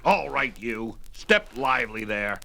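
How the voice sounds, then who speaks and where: Gravelly bullying deckmaster. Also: male voice, medium pitch, very animated, measured pace, background noise. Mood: gruff, bullying. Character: gruff, bullying ship's deckmaster, obedient underling. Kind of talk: 1970s audio drama acting. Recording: vintage record drama with music behind.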